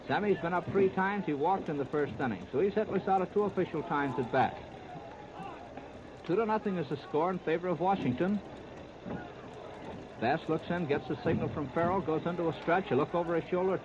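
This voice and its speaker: An American man giving flowing, detailed baseball commentary in a 1930s radio style. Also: high voice. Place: outdoor ballpark broadcast. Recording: noisy, muffled 1939 radio disc recording.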